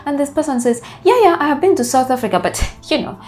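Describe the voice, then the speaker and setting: A woman from Uganda, in her thirties, speaking English as a second language after Luganda, casually talking to camera on a lapel mic in a bright living room. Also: high-pitched, fast talker, a little hiss or hum. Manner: animated, gesturing with her hands, talking it through as she goes. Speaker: travel vlogger.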